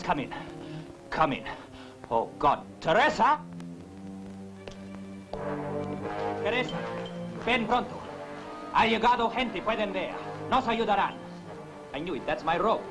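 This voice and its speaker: An actor playing a hospitable, anxious Spanish husband in a 1960s film, with a Spanish accent and courteous manner. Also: male voice, high-pitched, sing-song, unhurried, long pauses, low-quality recording. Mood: excited, relieved. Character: newly blind Spanish husband, hospitable, anxious expectant father. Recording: 1960s film soundtrack, faint music.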